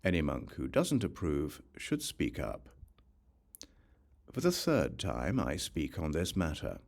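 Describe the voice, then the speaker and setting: A man reading scripture as a scripted audiobook narration, in a measured, formal reciting manner. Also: sits low, a little mellow.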